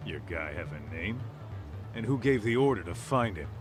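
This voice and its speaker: A blunt, streetwise tough guy in a video game, gruff and to the point. His voice sits low. Game music runs clearly underneath. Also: lively intonation, normal speed. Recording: game audio with music behind.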